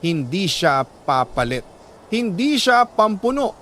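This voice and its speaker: A man reading a listener's love letter as a radio storyteller, in sentimental dramatic narration with deliberate pauses. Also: sits high, big pitch swings, fairly bright tone, a little hiss or hum.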